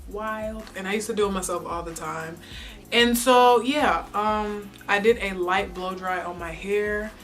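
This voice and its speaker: A woman's voice: mid-range voice, a little slow, a bit bright, faint music behind. A young woman chatting casually through a hair tutorial on her own channel, rambling with lots of ums and filler words. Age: young adult. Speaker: beauty vlogger.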